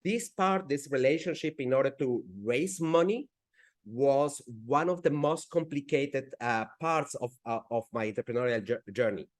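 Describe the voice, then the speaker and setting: A man in his forties from Peru with a Spanish accent, speaking as a guest in an interview, with frequent restarts and halting phrasing. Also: mid-range voice. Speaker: guest entrepreneur. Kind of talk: conversational interview.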